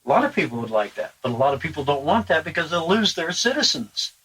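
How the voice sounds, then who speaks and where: A man's voice: fairly high pitch, big pitch swings, fast talker, fairly bright tone, a little hiss or hum, poor audio. A man in casual conversation, plain-spoken with fillers and asides. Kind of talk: casual chat.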